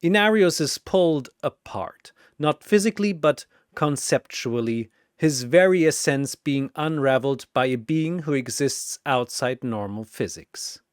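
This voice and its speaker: Slow, theatrical male story narrator. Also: mid-range voice, no room sound, studio quality. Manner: theatrical, clipped announcer phrasing. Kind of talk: dramatic scripted battle narration.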